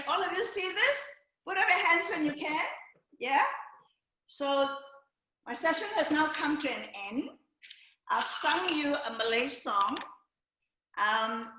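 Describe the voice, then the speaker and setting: A woman's voice: high voice, pushed and bright. An older woman giving a playful, joking cooking demonstration over a video call. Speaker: guest cook. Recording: echoey room with clear background noise.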